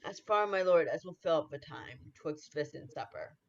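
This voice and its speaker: A man's voice: a little high, animated, normal speed. A young man acting a wary, suspicious nobleman in a Shakespeare radio play, with a muffled sound. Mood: deferential. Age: young adult. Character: wary, suspicious Scottish nobleman, loyal in manner. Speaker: student actor.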